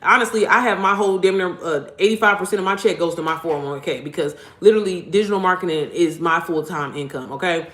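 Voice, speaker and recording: A woman's voice: fast, hardly any pauses, fairly bright tone, echoey room. A young woman, an online business coach giving a casual, blunt, profane motivational talk straight to her viewers. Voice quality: deep voice. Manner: blunt, profane, slangy, addresses viewers directly.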